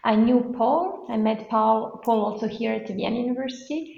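A woman from Bosnia, speaking as a guest professor on an interview podcast. She tells her story in a steady, detailed way, her voice sitting a little high. The audio sounds roomy and dull, like a modest lo-fi setup. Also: moderate pace, creaky.

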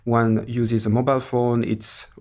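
A man's voice: mid-range voice, little pitch movement, a little slow, a little mellow. A middle-aged Swiss man with a French accent, a native French speaker, talking as an academic guest in a podcast interview, hesitant, with many fillers and restarts. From Switzerland.

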